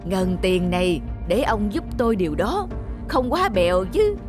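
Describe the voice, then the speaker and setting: A man voicing a scheming, wealthy middle-aged merchant in an animated folk tale, smooth-talking and self-important. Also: high-pitched, normal speed, over music. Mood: ingratiating, scheming.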